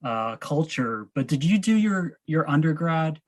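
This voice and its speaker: A man's voice: a little high, big pitch swings, measured pace, no room sound. An American man hosting a casual interview, musing in an informal, loosely phrased way. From Massachusetts, United States. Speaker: podcast host, interviewer.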